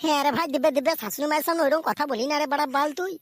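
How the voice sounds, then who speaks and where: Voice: sits high, racing, a bit bright. A performer voicing a cheeky, meddling, foul-mouthed wedding companion in a cartoon, thin-voiced. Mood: coaxing. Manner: meddling, teasing, crude. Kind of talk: amateur comedy cartoon voice acting.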